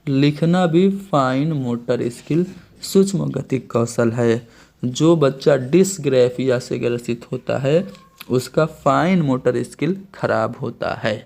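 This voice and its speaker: Indian-accented male teacher, mid-pitched, methodical step-by-step explainer for an exam-prep lesson. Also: takes it slowly, gravelly, a little mellow. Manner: explains step by step, often restating terms in two languages. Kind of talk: online exam-prep lecture.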